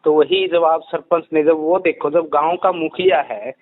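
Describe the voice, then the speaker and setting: Guy explaining stuff on a phone call, kinda high voice, emphatic, repeats himself, thin dry line. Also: steady tone, normal speed. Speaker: interviewed investigator and rationalist. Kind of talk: phone interview, explanatory talk.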